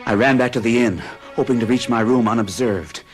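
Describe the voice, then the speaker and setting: A man's voice: medium pitch, brisk, music in the background, lightly acted. An actor narrating and playing an earnest, alarmed man in an old radio drama. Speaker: narrator and protagonist. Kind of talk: 1970s radio drama acting.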